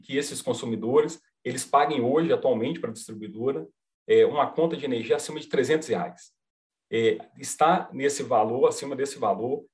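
Mineiro man, podcast guest, patient reassuring explainer, mid pitch. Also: a little mellow. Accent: Mineiro Brazilian Portuguese. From Minas Gerais, Brazil. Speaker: company representative guest. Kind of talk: podcast interview, explaining a product.

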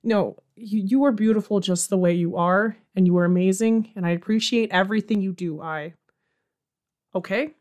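A woman's voice: mid-range voice, moderate pace, a little mellow, close to an everyday voice. A woman playing a flustered, placating night radio host in an audio comedy, chatty and rambling, often interrupting herself. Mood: hurt, placating. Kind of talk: audio comedy drama in radio show format.

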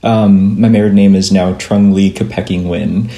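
A man's voice: deep voice, steady tone, normal speed, mellow, reverberant. A man, a comic book artist, answering interview questions thoughtfully on a call, with light laughs. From Philippines-born, raised in Minnesota. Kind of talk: remote interview conversation. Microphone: remote call.

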